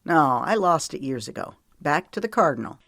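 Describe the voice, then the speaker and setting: A woman's voice: low voice, sing-song, moderate pace, a bit bright, dry recording, studio quality, exaggerated voice. A woman narrator voicing a protective, weary man in an audiobook, tender but stern, with an earnest, lecturing manner. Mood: thoughtful. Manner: earnest, lecturing, folksy storytelling.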